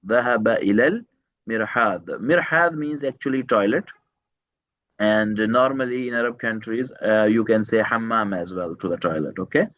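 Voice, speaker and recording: A man teaching Arabic one to one, repeating each phrase slowly, translating word by word and quizzing his student with short questions. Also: on the low side, fairly bright tone, lo-fi sound. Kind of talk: one-to-one language lesson.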